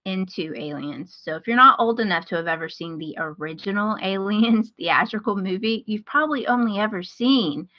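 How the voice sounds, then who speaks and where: A woman's voice: medium pitch, moderate pace, few pauses, no echo, lo-fi sound. A woman hosting a podcast, talking casually in a chatty, enthusiastic way about things she recommends. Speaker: podcast host.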